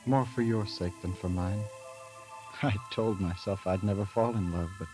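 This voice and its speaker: An actor playing an ailing, troubled young man in love in a 1930s radio drama, speaking gravely and haltingly. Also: low-pitched, natural voice, low-quality recording. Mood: sad, tender. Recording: vintage 1938 radio broadcast recording, music behind. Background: music.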